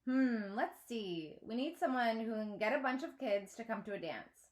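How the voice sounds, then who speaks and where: A woman's voice: on the high side, lively intonation, moderate pace, roomy sound, lightly acted. Storybook schoolboy read by a woman: loyal, helpful, pointed, teasing.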